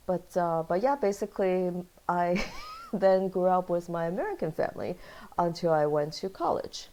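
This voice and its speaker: Low-voiced woman telling stories, laughing. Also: moderate pace. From Taiwan and Kansas. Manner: animated storyteller, laughs often. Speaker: guest. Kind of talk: casual interview chat.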